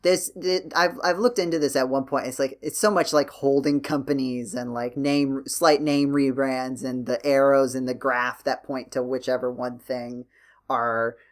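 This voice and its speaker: A man's voice: medium pitch, fairly even intonation, moderate pace. A man hosting a casual podcast discussion, explaining his thoughts in a rambling, hesitant way. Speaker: podcast host.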